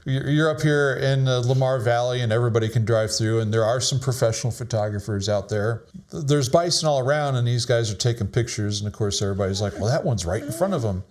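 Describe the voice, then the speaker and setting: A man's voice: medium pitch, quick pace, few pauses, darkish tone. A middle-aged man casually talking through his photos, modest and rambling, laughing often. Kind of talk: casual chat.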